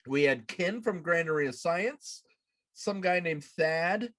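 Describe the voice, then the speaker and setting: A man in his thirties with a slightly high voice hosts a live podcast. He talks casually, like someone chatting over a video call from a room at home. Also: expressive, takes it slowly, a bit bright. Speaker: podcast host. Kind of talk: casual chat on a live show. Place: small room at home, indoors.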